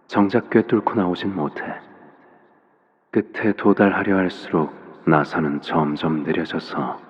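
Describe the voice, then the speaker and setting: Slow, low-voiced, quietly menacing father. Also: muffled recording. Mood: quiet, fatherly. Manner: soft-spoken, unhurried, measured, pausing between phrases. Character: calm, cryptic, quietly menacing fatherly man. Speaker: video game character voice actor. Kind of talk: game character voice lines, slow theatrical monologue. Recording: long reverb on the voice.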